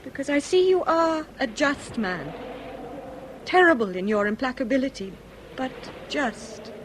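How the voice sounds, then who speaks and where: Radio drama: high-pitched upper-class English lady, wronged and anguished, formal, theatrical, slight background noise. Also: expressive, unhurried. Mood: resigned, grave. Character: anguished aristocratic lady confessing, dignified, wronged wife.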